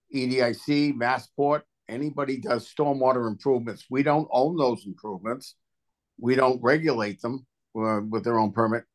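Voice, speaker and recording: A man with a mid-range voice speaks at a virtual public hearing. He explains technical review work informally, in plain colloquial language, as an official would. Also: mid-range voice. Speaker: water and sewer agency official at a hearing. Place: remote video call.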